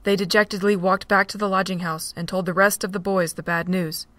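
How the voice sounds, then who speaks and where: A woman narrating an amateur audio drama in a steady, plain reading. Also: medium pitch, brisk, no echo. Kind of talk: storybook narration.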